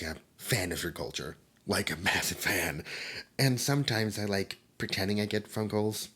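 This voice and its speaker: A man voicing a chatty, awkward immortal demon who summons time, rambling with many ums and asides and sometimes dropping to a whisper, in an ASMR roleplay. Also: fairly low pitch. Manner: rambling and self-conscious, full of ums and asides; drops into soft whispers at times.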